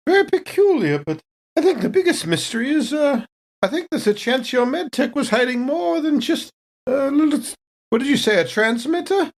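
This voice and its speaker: A woman's voice: high voice, sing-song, normal speed. A woman playing a polite, evasive company captain in improvised sci-fi role-play, hesitant and genteel in her phrasing. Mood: puzzled, polite.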